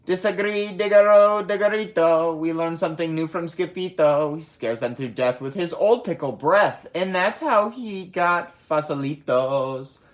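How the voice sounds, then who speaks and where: A storybook reader voicing an excitable, mischievous pack of little Chihuahuas, exclaiming playfully with Spanish-flavoured words. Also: medium pitch, a little slow, few pauses, pitched above the performer's own voice, lightly acted. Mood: gleeful.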